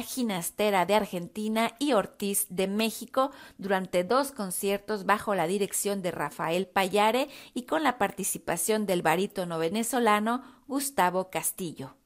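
A woman radio correspondent reading weekly news reports in a clear, friendly manner. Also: mid-range voice.

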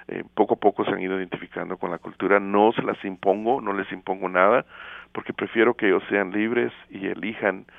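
A middle-aged man from Guatemala, speaking thoughtfully as an interview guest with many fillers and pauses, heard over a phone line. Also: sits low, vocal fry, no echo. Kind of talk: casual interview. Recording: tinny phone line, radio broadcast.

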